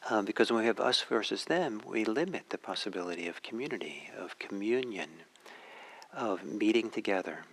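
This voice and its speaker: A man who is a native Norwegian speaker gives a reflective talk as a meditation teacher, pausing often and searching for his words. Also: lowish voice, animated, fairly bright tone, rough recording. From Norway.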